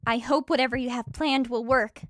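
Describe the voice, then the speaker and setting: A woman playing a bold, practical female fighter, protective of her friends, in a fantasy audio drama with brisk delivery. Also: on the high side, fairly even intonation, close to an everyday voice. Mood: wary.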